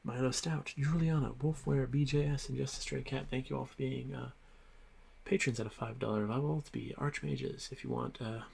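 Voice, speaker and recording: A man giving casual, halting channel shoutouts as an audio roleplay creator. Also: medium pitch, steady tone, gravelly, breathy edge.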